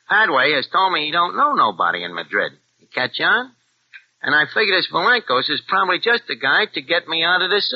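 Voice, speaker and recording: Crook narrator: slangy, ungrammatical tough guy, lively pitch, old-time radio. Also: gravelly, slightly rough, heavily acted. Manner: slangy tough-guy talk, bad grammar. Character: streetwise small-time crook posing as an Englishman. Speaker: narrator and lead character. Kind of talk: 1940s radio acting. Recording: dull old radio recording with music.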